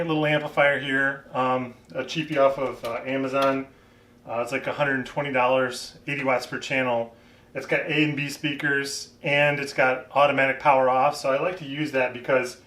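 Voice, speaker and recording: A man giving a casual, unscripted gear review, thinking aloud in a halting way as a vlogger. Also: mid-range voice, bright, forceful tone. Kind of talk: casual unscripted product talk. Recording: roomy echo, distant microphone.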